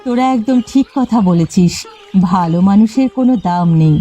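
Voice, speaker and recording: A woman's voice: medium pitch, lively intonation, moderate pace, mellow, dull audio, close to an everyday voice. A woman voicing an upright eldest village sister in a cartoon, stern and admonishing, weary of her siblings. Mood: disillusioned, resigned. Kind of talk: cartoon dialogue. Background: faint music.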